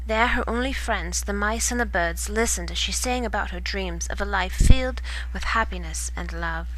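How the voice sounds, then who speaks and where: A woman reading a children's bedtime story in expressive storybook narration. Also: medium pitch, slight background noise, low-quality recording.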